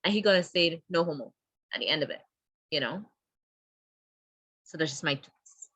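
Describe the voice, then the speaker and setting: A woman speaking as a webinar panelist in an animated, conversational way. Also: deep voice, normal speed, dry recording. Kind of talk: panel discussion on a video call. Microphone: video call.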